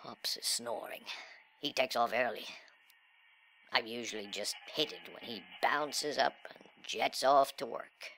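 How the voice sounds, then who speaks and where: A man performing a dramatic monologue as a sullen, cocky, nihilistic young slacker, with breathy, slangy, sneering delivery that swings between muttering and outbursts. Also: medium pitch, measured pace, sharp tone, slight background noise, low-quality recording. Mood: bored, sullen. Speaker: reader of a literary monologue. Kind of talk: dramatic first-person monologue in slangy speech.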